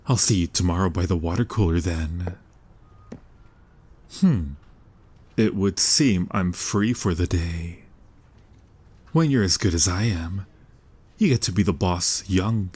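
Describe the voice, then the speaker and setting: A man acts out a young mafia boss in an intimate audio roleplay. His voice sits fairly low and has a husky grain. He takes his time, smooth-talking and teasing with smug, mock-sincere charm. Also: darkish tone. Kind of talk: ASMR boyfriend-style audio roleplay.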